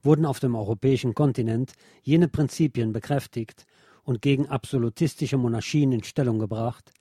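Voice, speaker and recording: A man reads a scripted history feature as presenter, in a formal, measured way. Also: medium pitch, warm tone, husky, no room sound, clean studio sound. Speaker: radio show presenter.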